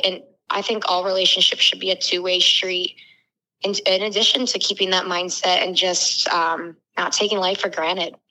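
A woman in her twenties from Florida, a podcast guest talking quickly, loudly and candidly, swearing freely. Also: fairly low pitch, rough recording. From Florida, USA. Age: 20s. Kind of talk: casual interview talk.

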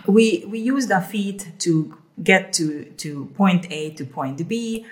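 A middle-aged woman from Hungary talks in a loose, chatty way, circling back over her words as she gathers her thoughts. Her voice sits low for a woman. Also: slow pace. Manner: chatty, repeats words while thinking aloud. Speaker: co-host. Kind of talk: casual conversational talk.

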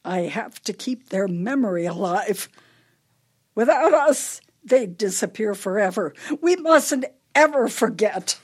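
Fretful, husky elderly wife. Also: female voice, mid-range voice, expressive, a little slow. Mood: bitter, insistent. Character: fretful, grieving elderly wife, clinging to memories. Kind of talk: radio play acting.

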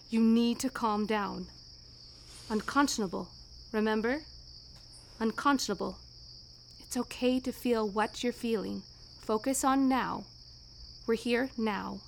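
A woman's voice: a little high, vocal fry, slight background noise. A woman playing a protective, tender but insistent telepathic wife in an audio drama. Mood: soothing, urgent.

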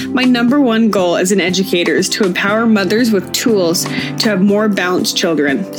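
A woman podcast host reading a scripted, friendly show intro. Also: medium pitch. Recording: intro with guitar music behind. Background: guitar music.

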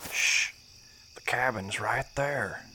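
An actor playing a worried but determined hardware store owner, hushed and urgent, in an audio play. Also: male voice, mid-range voice, takes it slowly, slight background noise. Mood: hushed caution. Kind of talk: audio drama acting.

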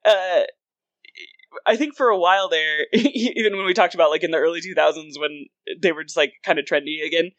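A woman's voice: on the low side, brisk, dry recording, dull audio. A woman in her thirties co-hosting a casual chat, rambling loosely and peppering her sentences with "like". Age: 30s. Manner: rambling, animated asides with frequent "like". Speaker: podcast co-host. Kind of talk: casual film and music chat.

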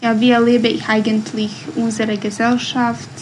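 A woman in her twenties with a Bosnian accent, a guest on a podcast, answering in careful non-native speech with many pauses and fillers. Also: on the high side, flat delivery, a little hiss or hum, rough recording. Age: 20s. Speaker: guest, poet. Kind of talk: podcast interview.

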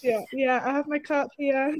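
Guest: British woman writer, fairly high voice, soft and hesitant, lots of ums, video-call audio. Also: steady tone, moderate pace. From United Kingdom. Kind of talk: casual interview chat.

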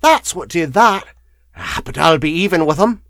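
A man voicing a wheezy, high-pitched cunning slum crone. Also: animated, normal speed, fairly bright tone, no echo, clean studio sound. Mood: bitter, mocking. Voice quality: wheezy, chuckling. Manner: wheedling, coarse, addresses listener familiarly. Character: bent, wheezy, cunning middle-aged slum woman.

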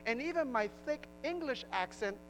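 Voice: sits high, expressive, normal speed, fairly bright tone, a little hiss or hum, poor audio. A pastor from Puerto Rico with a New Jersey accent, preaching a sermon in a conversational way, often restarting phrases and rising into emphasis.

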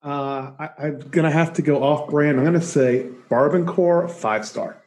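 A man with a slightly higher voice joins the panel with self-deprecating jokes. He speaks casually and hesitates a little before he answers. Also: normal speed, a little mellow. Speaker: professor on a panel.

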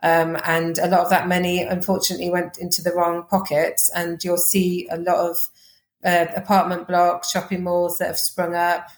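A woman with a Welsh accent, chatting quickly as a podcast guest, full of fillers and restarts. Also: on the low side, monotone, roomy sound. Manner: fast-talking, chatty, lots of fillers. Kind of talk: casual interview chat.

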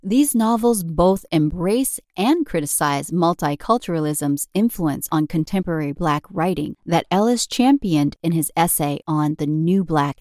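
A woman reading scripted podcast narration in a measured, articulate, formal way. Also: fairly low pitch, a little mellow, no room sound, clean studio sound.

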